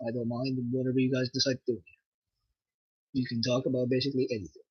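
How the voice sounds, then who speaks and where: A man's voice: mid-range voice, fast talker, breathy edge, dry recording, highs cut off. A young man from Nova Scotia with a French Canadian accent, chatting casually and rambling on his livestream with lots of fillers. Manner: rambling, relaxed, with frequent fillers. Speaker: livestream host.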